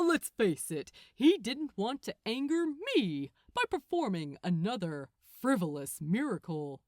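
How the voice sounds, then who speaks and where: Smug archangel guy in an audiobook done by a woman, gloating n condescending, pitch all over, super dry sound. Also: no room sound. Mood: smug amusement. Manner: condescending, chuckling, theatrical. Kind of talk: acted audiobook dialogue.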